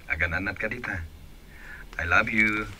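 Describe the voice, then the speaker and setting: An actor with a Filipino accent playing a caring, earnest young boyfriend in a radio drama. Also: male voice, fairly low pitch, moderate pace, pushed and bright, slight background noise. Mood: caring, attentive.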